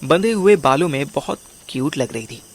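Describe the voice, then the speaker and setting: A man's voice: a little high, expressive, a little fast, husky. A man narrating a dramatised audio story in the first person, with music behind him. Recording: music under the voice. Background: background music.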